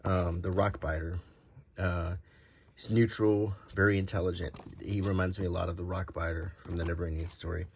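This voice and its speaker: Male host, deep-pitched, informal and unhurried rambler thinking aloud, slightly noisy recording. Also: level tone, gravelly. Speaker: host showing vintage game cards. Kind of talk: casual unscripted show-and-tell.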